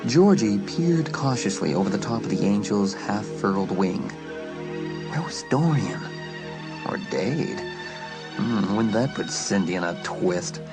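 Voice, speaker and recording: Guy narrating a scary audiobook, kinda low voice, suspenseful, big music underneath. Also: expressive, unhurried. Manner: suspenseful storytelling. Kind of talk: dramatic scripted horror narration. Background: loud music.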